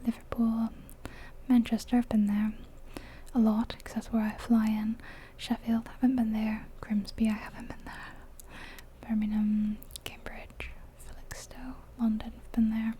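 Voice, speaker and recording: A woman's voice: a little high, level tone, unhurried, dark tone, a little hiss or hum. A woman whispering her way through a game in a chatty, rambling ASMR style. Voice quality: breathy unvoiced whisper. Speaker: ASMR gaming creator. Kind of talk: whispered ASMR gameplay commentary.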